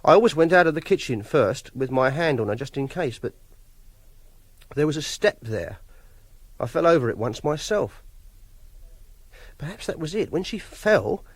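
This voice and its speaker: A man's voice: fairly high pitch, animated, moderate pace, long pauses, slightly rough, dry recording. An actor playing a socially awkward, obsessive young Englishman in a radio drama, hesitant and defensive. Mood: confessional, self-justifying. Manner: awkward, defensive, fussily self-justifying. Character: socially awkward, obsessive young man holding a woman captive. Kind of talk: radio drama acting and first-person narration.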